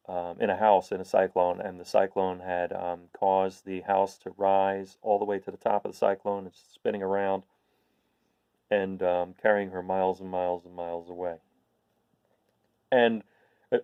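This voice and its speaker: Low-voiced man, dry and chatty storyteller. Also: darkish tone, no echo, muffled recording. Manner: chatty, explanatory. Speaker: host of a read-aloud series. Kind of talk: casual introduction and expressive storybook reading for children.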